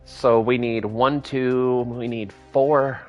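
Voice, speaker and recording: A man's voice: medium pitch, steady tone, slow, muffled recording, faint music behind. A man in his thirties giving casual, rambling commentary while playing a space game, thinking aloud as he builds. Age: 30s. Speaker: game streamer.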